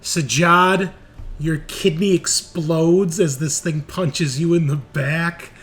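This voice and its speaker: A man's voice: on the high side, fairly even intonation, slow, fairly bright tone. A man running a tabletop roleplaying game as game master, moving between casual rules talk and dramatic, sweary narration.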